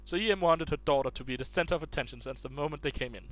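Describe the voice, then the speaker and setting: A man's voice: fairly high pitch, racing, fairly bright tone. A man reading online posts aloud in a casual way, stumbling and restarting words as he goes.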